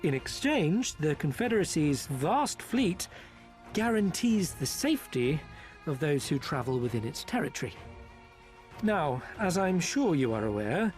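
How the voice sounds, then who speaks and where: A man in a game cutscene giving a formal, measured briefing. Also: fairly high pitch. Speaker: informant briefing the heroes. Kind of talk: video game cutscene acting.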